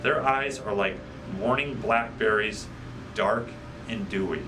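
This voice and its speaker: A man narrating a children's audiobook in a measured, deliberate way, recorded at a slight distance from the mic. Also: lowish voice, background noise. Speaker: guest storybook reader.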